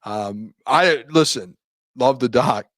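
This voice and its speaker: A man hosting a live sports talk show, hoarse from talking too much, bantering and defending his side. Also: mid-range voice, slow pace, fairly bright tone, dry recording. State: losing his voice.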